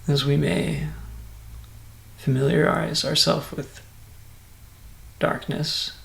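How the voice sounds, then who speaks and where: A man softly and calmly guiding a meditation in an ASMR style, in measured phrases that sometimes drop to near-whisper. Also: a little high, roomy sound, poor audio. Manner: soft-spoken, breathy near-whisper at times, calm and soothing. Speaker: meditation guide.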